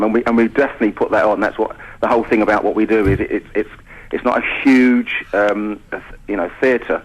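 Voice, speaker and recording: A man's voice: on the low side, rough recording. A man chatting enthusiastically as a guest in a radio interview. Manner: enthusiastic, gushing. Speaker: pantomime performer and guest. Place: radio studio.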